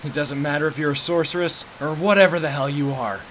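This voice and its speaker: A man's voice: a little high, quick pace, close to an everyday voice. A man playing a brash, hot-headed young big brother in an anime game fan dub, blunt and cocky. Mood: brash, agitated. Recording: noisy amateur fan dub recording.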